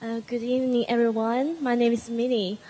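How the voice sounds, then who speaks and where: A woman, formally introducing herself with frequent hesitant pauses. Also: a little high, flat delivery, mellow, slight background noise. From China. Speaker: panelist. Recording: reverberant hall.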